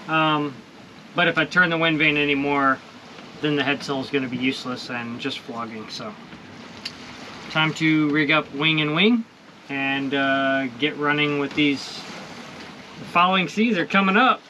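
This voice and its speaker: Easygoing man sailing in wind and spray. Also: on the high side. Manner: relaxed, upbeat, loose with fillers and soft laughs. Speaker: solo sailor vlogger. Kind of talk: casual vlog narration. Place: outdoors on the deck of a small sailboat at sea, wind blowing. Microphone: action camera close on the boat. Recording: windy outdoor camera audio on open water. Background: wind and water rushing past the hull.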